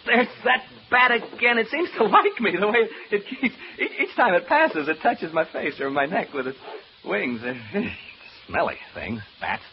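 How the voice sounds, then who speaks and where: An actor playing a nervous, rambling radio broadcaster alone in a haunted house, stammering through asides to listeners in an old radio horror play. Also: male voice, mid-range voice, big pitch swings, a little slow. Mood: nervously giddy. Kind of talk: old-time radio horror drama acting. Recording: muffled old radio recording, background hiss.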